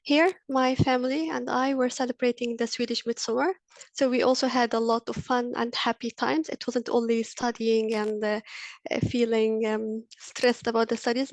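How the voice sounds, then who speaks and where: A woman's voice: on the high side, no echo. A woman in her thirties from Egypt, a university lecturer, giving an earnest webinar presentation with frequent ums and uhs. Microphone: video call.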